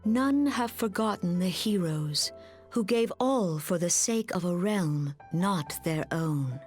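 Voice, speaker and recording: A serene, grave mystic leader from a fantasy game. She speaks very slowly and formally in measured, archaic phrases, with music playing behind her. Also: lowish voice, expressive. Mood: solemn reverence. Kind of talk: scripted fantasy game cutscene acting.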